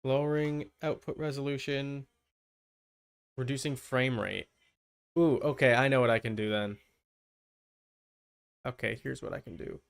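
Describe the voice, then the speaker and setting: A man's voice: medium pitch, steady tone, unhurried, vocal fry, long pauses, clean tone. A man chatting casually on a game livestream, thinking aloud and muttering to himself. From Canada. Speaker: game livestreamer using an avatar.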